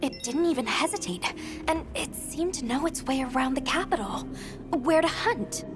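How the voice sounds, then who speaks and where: An actress voicing a calm, thoughtful woman researcher in a video game, speaking in a measured, analytical way. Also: high voice. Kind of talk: video game cutscene dialogue. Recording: game audio with music.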